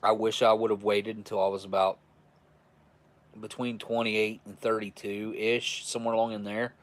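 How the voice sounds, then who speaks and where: A man's voice: on the low side, little pitch movement, moderate pace. A man in his forties hosting a live stream, chatting casually with viewers in a rambling, chuckling, easygoing way. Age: 40s. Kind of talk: casual live chat reading viewer messages.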